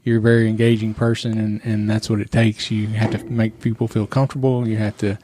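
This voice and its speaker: A man hosting a podcast, talking in a relaxed, encouraging way. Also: on the low side, fairly quick, a little hiss or hum. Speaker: podcast host. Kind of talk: casual interview talk.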